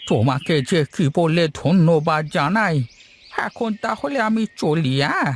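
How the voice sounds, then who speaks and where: A man's voice: a little high, very animated, unhurried, full character voice. A man voicing a kind, curious young village lad in a cartoon, polite and inquisitive. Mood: grateful. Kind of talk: Bengali moral-story cartoon dubbing.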